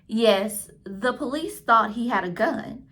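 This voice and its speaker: A woman's voice: on the high side, expressive, slow, a bit bright, full character voice. A woman reading the part of a serious, matter-of-fact father in a storybook.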